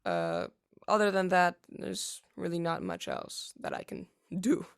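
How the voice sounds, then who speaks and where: A teenage boy answering interview questions about piano, halting, with many fillers and restarts. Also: sits high, wildly swooping pitch, gravelly, a bit bright, clean tone. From United States. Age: teens. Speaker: young pianist interviewee.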